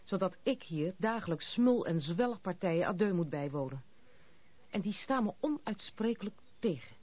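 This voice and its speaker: A woman's voice: medium pitch, expressive, moderate pace, lightly acted. An actress playing a proud, outspoken young noblewoman with some bitterness in a Dutch radio play. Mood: bitter, confiding. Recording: muffled, noisy, narrow-band old radio recording.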